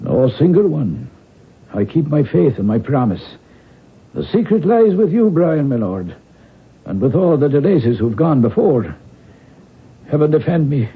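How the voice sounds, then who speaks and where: An actor playing a loyal, anxious old family servant in a radio drama, husky and earnest. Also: male voice, on the high side, very animated, measured pace, pauses often, exaggerated voice. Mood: solemn, earnest. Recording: muffled narrow-band radio broadcast recording.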